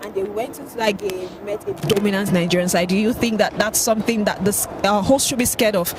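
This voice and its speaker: A man from Ghana hosting a casual street interview, asking quick, upbeat questions about a match. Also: high voice, steady tone. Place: outdoors on a city street with traffic. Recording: loud street noise.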